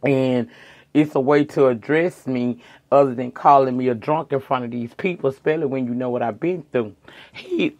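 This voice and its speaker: A middle-aged woman, hoarse tonight, chatting casually and sassily to viewers as she reviews a reality show. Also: a bass voice, darkish tone, highs cut off. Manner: chatty, sassy, addresses viewers as girl and y'all.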